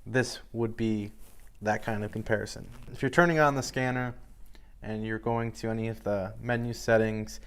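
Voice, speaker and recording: A man's voice: fairly low pitch, steady tone, normal speed, clean tone. A man giving an informal product review in a matter-of-fact, explanatory way.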